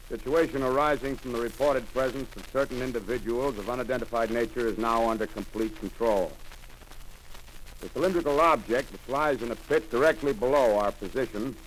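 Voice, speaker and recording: Actor as a brisk, cocky field officer; mid-pitched voice, 1930s radio noise. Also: male voice, close to an everyday voice. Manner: brisk, dismissive, overconfident. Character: confident male army signal captain. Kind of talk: 1930s radio drama acting. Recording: scratchy 1930s radio recording.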